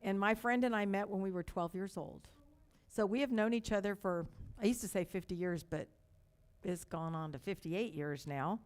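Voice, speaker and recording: An older woman pastor from Texas with a light Texas accent, preaching a sermon with slow, emphatic repetition and storytelling asides. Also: mid-range voice.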